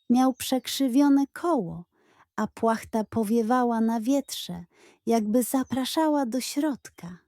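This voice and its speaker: A woman narrating a scripted bedtime adventure story for children in a slow, dramatic storytelling manner, recorded close on a studio microphone. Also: a little high, mellow, dry recording.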